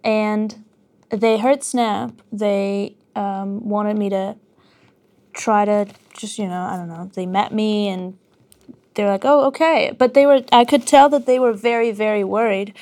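A woman from Armenia, a guest singer talking casually in a radio studio, hesitant with many pauses and ums. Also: medium pitch, steady tone, darkish tone. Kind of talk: casual interview.

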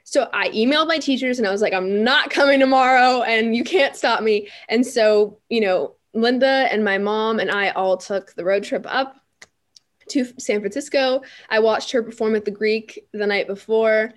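A teenage girl, a young musician, telling stories in an interview in a chatty, animated way with many fillers and restarts. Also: a little high, moderate pace. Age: teens. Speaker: guest musician. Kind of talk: interview storytelling.

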